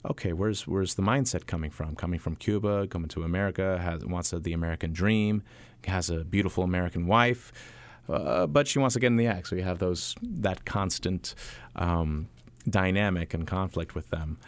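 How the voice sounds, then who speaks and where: Man, very low voice, dry close sound, stage actor in an interview, lots of uhs and restarts. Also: no echo. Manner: halting, many fillers and restarts. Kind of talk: interview answers.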